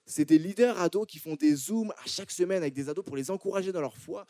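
A man preaches to a congregation in French with an accent from France. His voice is fairly high for a man, with a soft, mellow tone, and it sounds very dry and close. He often circles back, repeating words and restarting phrases as he builds his point. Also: fast talker, dry recording. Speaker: church preacher. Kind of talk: sermon to a congregation.

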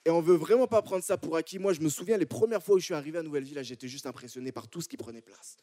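Mellow Frenchman preaching a sermon. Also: a little high, very fast, no echo, studio quality. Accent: French from France. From France. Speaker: church preacher. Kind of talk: sermon to a congregation.

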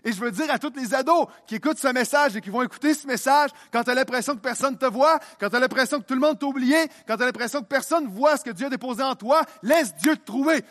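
A man's voice: extremely high pitch, a bit bright. A young man preaching a sermon as pastor, repeating phrases as his delivery builds in intensity.

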